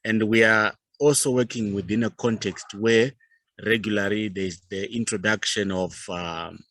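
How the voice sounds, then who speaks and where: A man with a Zimbabwean accent giving a formal presentation as a youth organization leader, speaking in a measured, formal way with pauses. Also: lowish voice, steady tone, a bit bright, dry recording.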